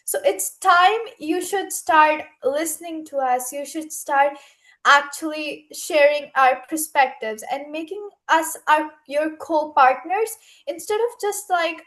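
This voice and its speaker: A young woman with a very high voice speaks as a youth panelist. Her remarks are impassioned and earnest, delivered with emphasis. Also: slow pace, a bit bright. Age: young adult. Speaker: youth speaker at an online summit.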